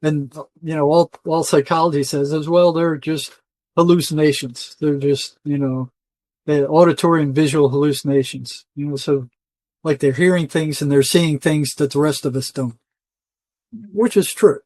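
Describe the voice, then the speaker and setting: A man's voice: a little high, steady tone, moderate pace, darkish tone, dry recording. An older man speaking as an interview guest in a conversational, colloquial and emphatic storytelling way. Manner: emphatic, colloquial, often quoting imagined dialogue. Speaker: interview guest, retired psychotherapist.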